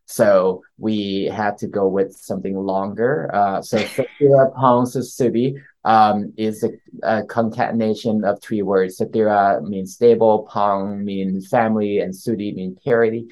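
A young man from Thailand, a winemaker guest on a podcast, speaking softly with halting, repeated words. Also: fairly low pitch, steady tone, poor audio. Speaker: winemaker and winery owner.

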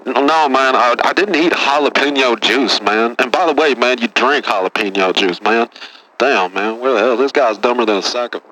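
A man's voice: mid-range voice, normal speed, sharp tone, slight background noise, lightly acted, low-quality recording. A man playing a boastful, touchy caller from Texas who says "man" after nearly every phrase, in a comedy radio bit. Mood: whiny, indignant. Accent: Texan.

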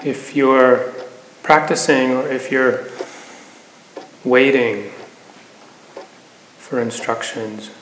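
A man teaching a guided meditation in an unhurried way, with long pauses between phrases. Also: mid-range voice, a bit bright. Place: meditation hall. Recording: echoey hall, noticeable reverb, faint noise.

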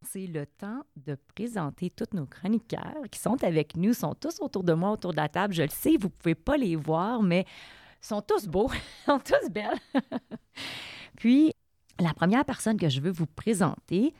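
A woman's voice: mid-range voice, sing-song, normal speed, darkish tone, no room sound, studio quality. A woman with a Québécois accent hosting a podcast around a table, upbeat and welcoming, laughing easily. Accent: Québécois French. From Québec. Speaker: podcast host. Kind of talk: casual podcast chat.

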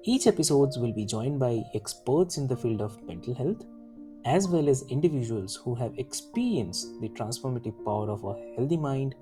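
Smooth scripted show intro, guitar music playing under it. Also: mid-range voice. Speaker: podcast intro announcer.

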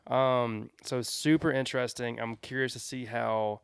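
A young man on a podcast, a bit husky today, joking and chatty as he reviews a show. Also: mid-range voice, measured pace, no room sound. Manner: joking, animated storyteller. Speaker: podcast co-host. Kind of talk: casual podcast chat.

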